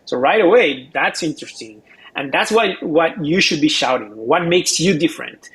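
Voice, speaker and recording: Male expert co-host, slightly high voice, casual explainer who repeats words while thinking aloud. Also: animated, moderate pace, a bit bright, poor audio.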